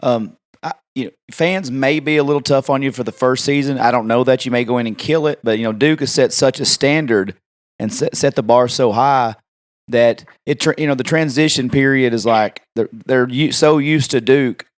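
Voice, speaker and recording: A man's voice: mid-range voice, moderate pace. A man hosts a casual podcast, speaking in a mid-range voice with plenty of ums as he retells stories. His sound is close and dry, with no room echo. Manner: conversational, acts out quoted exchanges, frequent fillers. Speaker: podcast host.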